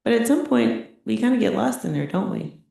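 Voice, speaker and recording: A woman's voice: a little high, animated, fairly quick, gravelly, warm tone. A middle-aged woman giving a chatty Buddhist teaching, often checking in with "right?" and laughing lightly. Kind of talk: conversational dharma talk. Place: meditation center teaching room. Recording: very echoey room.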